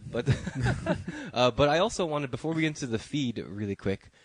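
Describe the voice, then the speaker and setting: A man's voice: mid-range voice, animated, normal speed. A man co-hosting a music podcast, congested and a little nasal from allergies, rambling and joking with many restarts. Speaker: podcast co-host. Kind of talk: casual podcast banter.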